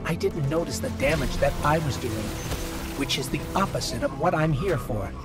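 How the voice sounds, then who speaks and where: An actor playing a small, loyal robot companion in a video game, earnest, gentle and a little hesitant. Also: male voice, fairly high pitch, moderate pace, natural voice. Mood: apologetic, sincere. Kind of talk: dramatic video game acting. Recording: loud music under the voice. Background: loud music.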